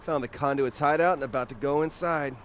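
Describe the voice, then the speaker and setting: An actor playing a brash, curious young American superhero in a video game, flippant and casual. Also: male voice, on the high side, fairly quick, a little hiss or hum, natural voice. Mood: breezy, cocky. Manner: cocky, flippant.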